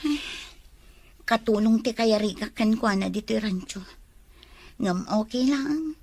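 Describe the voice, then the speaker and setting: A woman acting a tender, lovelorn young rural homemaker in an audio drama, with an Ilocano accent and breathy, plaintive delivery. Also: a little high, measured pace, a little mellow. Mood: sad, confiding.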